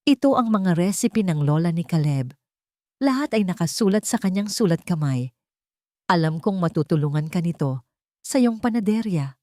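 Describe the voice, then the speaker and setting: A woman's voice: lowish voice, expressive, moderate pace, mellow, no echo, studio quality, natural voice. A woman voicing a remorseful wealthy older mother, apologetic and pleading, her voice breaking. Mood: tender.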